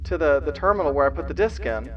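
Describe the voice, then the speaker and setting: A man's voice: a little high, animated, fast talker, dull audio, music in the background, natural voice. A man game-mastering a tabletop game and voicing a bewildered man calling from far away. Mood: flustered.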